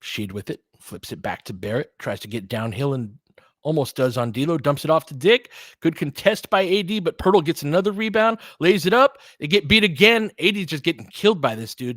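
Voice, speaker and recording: A man's voice: fairly high pitch, a bit bright, no room sound, clean studio sound. A man giving conversational, partisan basketball play-by-play commentary, reacting openly to the action as it unfolds.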